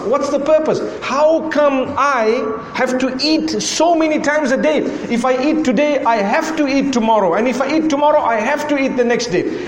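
A man with a Zimbabwean accent delivers a sermon to a live audience, driving his point with a string of rhetorical questions. His voice sits high for a man. The sound carries the long echo of a large hall over a faint room hum. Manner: rhetorical questioning, repeating "why" and "how come". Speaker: preacher.

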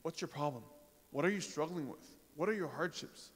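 A man giving an informal sermon to a congregation, talking in a conversational way with pauses, fillers and rhetorical questions. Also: a little high, lively intonation, husky. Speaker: religious speaker. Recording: reverberant hall.

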